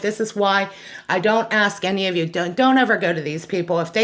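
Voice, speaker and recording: A woman's voice: mid-range voice, fairly bright tone. Outspoken, aggrieved American woman. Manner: outspoken, aggrieved, emphatic, repeating phrases for stress. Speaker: host of a personal awareness show. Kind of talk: unscripted personal monologue, testimonial.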